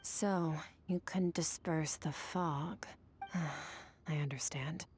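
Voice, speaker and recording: Cool blunt young swordswoman for a game, lowish voice, detached and composed, music underneath. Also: fairly even intonation, drawn-out words, darkish tone. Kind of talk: video game character acting.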